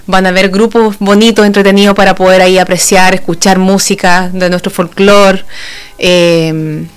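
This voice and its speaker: A woman's voice: mid-range voice, little pitch movement, normal speed, clear, resonant tone. A woman from Chile with a Chilean accent, speaking as a guest in a casual radio interview. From Santa Cruz, Chile. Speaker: guest, folk ballet dancer.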